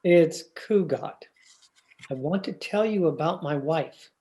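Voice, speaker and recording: An earnest, flustered husband played by a man in an old-time radio comedy. He speaks slowly and hesitantly, with big swoops of pitch when exasperated. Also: expressive, dark tone.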